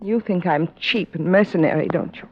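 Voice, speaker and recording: An actress playing a young, worried wife, earnest and defensive, in old-time radio drama style. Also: female voice, on the low side, moderate pace, husky. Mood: wounded, bitter. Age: young adult. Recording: muffled vintage radio recording.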